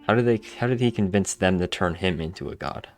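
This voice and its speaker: A man's voice: low voice, fast. A man doing casual game commentary, informally musing aloud as he plays. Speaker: gaming video host. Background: faint game music.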